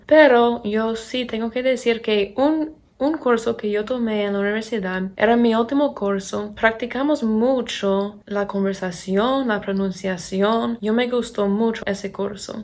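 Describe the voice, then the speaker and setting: A young American woman with an American accent, speaking as a second-language learner in a casual vlog, halting and searching for words. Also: medium pitch. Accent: American English accent in Spanish. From Pennsylvania, USA. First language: English. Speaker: vlogger and English teacher. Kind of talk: casual chat to camera.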